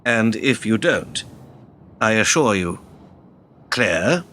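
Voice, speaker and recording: A man's voice: lowish voice, very animated, slow pace, sharp tone, exaggerated voice. Man acting a calculating, persuasive businessman, background noise. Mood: earnest, persuasive. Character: calculating, persuasive businessman handing over his company. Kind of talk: radio drama acting.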